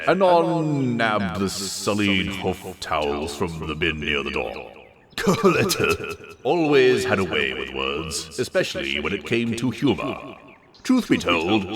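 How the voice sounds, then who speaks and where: A man reading a comic story aloud in a theatrical, expressive narration with wry delivery. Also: sits low, recorded from a distance. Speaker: story reader.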